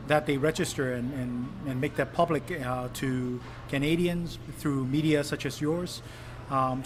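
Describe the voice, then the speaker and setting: A man from Hong Kong, a politician giving earnest interview answers with many pauses and fillers. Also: mid-range voice. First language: Cantonese. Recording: clip with noisy ambience.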